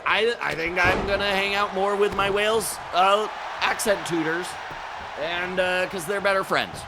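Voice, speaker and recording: A man's voice: sits high, steady tone, unhurried, noisy background. A man doing color commentary on wrestling, sarcastic and jokey, playing up mock outrage.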